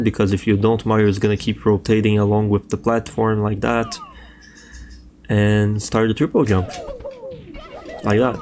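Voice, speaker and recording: Man, Brazilian Portuguese accent, native Portuguese speaker, young adult, laid-back tutorial voice-over, fillers, casual cursing, slightly low voice. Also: a little hiss or hum. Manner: relaxed, loose, swears casually, frequent fillers. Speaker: speedrun tutorial host.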